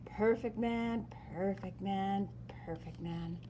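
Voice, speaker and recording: A woman reads a children's story aloud expressively, with dramatic emphasis and pauses. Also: medium pitch, dark tone, slight background noise. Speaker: storyteller reading a picture book aloud.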